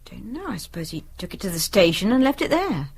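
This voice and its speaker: Breathy, defensive woman. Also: mid-range voice, very animated, a little fast, a little mellow, heavily acted. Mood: uncertain, defensive. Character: uncertain, defensive woman under police questioning. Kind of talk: broadcast radio drama acting.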